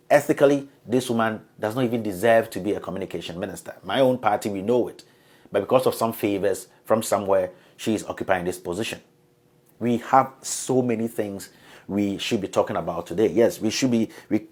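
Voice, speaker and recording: A hoarse man from Ghana, delivering a scripted political editorial in an emphatic, accusatory way. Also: on the low side, darkish tone. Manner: emphatic, accusatory, building in force. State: hoarse from flu. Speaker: political commentator.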